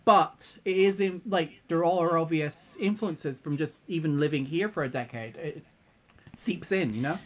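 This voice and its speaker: A co-host with a medium-pitched voice, chatting through advice in a thoughtful way. The phrasing is tentative and conversational, often rounded off with a short check-in question. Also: measured pace. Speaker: co-host giving feedback. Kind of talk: casual advice chat.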